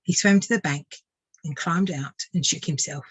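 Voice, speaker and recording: A woman narrating a children's storybook like a tutor reading aloud, measured and expressive. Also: low-pitched, breathy edge, dry recording.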